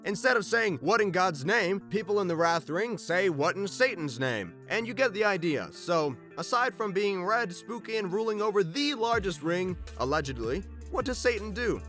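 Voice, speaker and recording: A man's voice: high-pitched, lively intonation, moderate pace, hardly any pauses, pushed and bright, soft background music. A man hosting a scripted fan-lore video, telling it in a theatrical, wry way with joking asides. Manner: theatrical, wry storytelling delivery. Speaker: video host.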